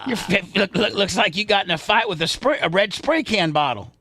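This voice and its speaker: A man's voice: sits high, expressive, a little fast, crowd noise. An American man hosting a comic talk radio show, bantering and reading news aloud, often stumbling and repeating words.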